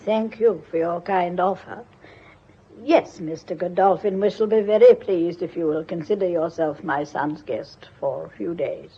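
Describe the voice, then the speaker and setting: An actress playing a shrewd old grandmother, imperious and dryly polite, in a measured radio drama performance. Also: lowish voice, slightly rough. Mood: weary, commanding. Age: older. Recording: dull lo-fi radio drama recording.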